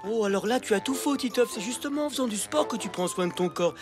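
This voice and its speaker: Woman as didactic cartoon lecturer, highish, rapid, earnest, music behind. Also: expressive, natural voice. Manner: didactic, corrective. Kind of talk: French animated series voice acting.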